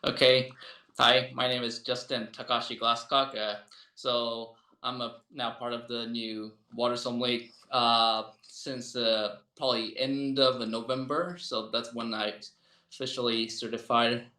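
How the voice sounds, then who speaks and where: Bright-voiced man in an echoey room. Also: mid-range voice, level tone, measured pace. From United States. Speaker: panel guest and translator. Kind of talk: casual self-introduction. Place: online video call. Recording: echoey room, video call.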